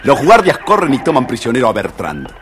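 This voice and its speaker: A man with an Argentine accent and a husky voice, narrating a scripted story for children. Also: mid-range voice, wildly swooping pitch, fast talker, background noise.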